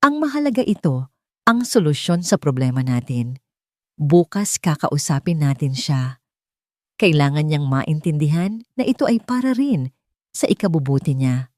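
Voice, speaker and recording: A woman's voice: sits low, animated, moderate pace, darkish tone, dry recording, studio quality. Woman voicing an insistent, scheming middle-aged uncle. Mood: insistent, curt. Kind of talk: audio storybook character dialogue.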